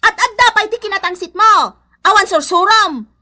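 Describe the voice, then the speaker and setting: A woman's voice: extremely high pitch, brisk, pushed and bright, a little hiss or hum, heavily acted. A woman playing a combative, protective mother in a radio comedy drama, scolding and taunting. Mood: shrill scolding. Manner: scolding, taunting, spitting insults. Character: hot-tempered, combative village mother, shrill and protective of her son.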